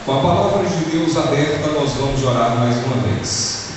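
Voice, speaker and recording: A man's voice: medium pitch, moderate pace, noisy background. A Brazilian man in his thirties with an Alagoas accent, preaching a sermon to his congregation in an earnest, direct way. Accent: Brazilian Portuguese, Alagoas. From Brazil, Maceió. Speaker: pastor. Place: church sanctuary. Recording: echoey church hall, far from the mic.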